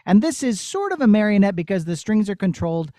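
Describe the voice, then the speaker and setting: A man's voice: high-pitched, very animated, fast talker, warm tone, dry recording, studio quality. A man presenting as a puppeteer to children, explaining step by step in simple, child-friendly words. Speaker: puppeteer presenting his show. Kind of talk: demonstration and Q&A for children. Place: puppet theatre studio.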